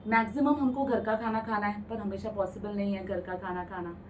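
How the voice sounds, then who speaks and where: A woman's voice: mid-range voice, fairly even intonation, fairly quick, distant mic, slight background noise. A woman ENT doctor explaining throat infections in a radio interview, patiently, mixing English medical terms into her speech. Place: radio studio.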